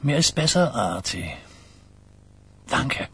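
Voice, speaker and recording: An actor playing an old, wary mafia boss in a German radio crime drama, speaking in deliberate, blunt phrases. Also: male voice, medium pitch, sing-song, a bit bright, husky, full character voice. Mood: candid. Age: older. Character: old New York mafia boss, burdened, wary, courteous.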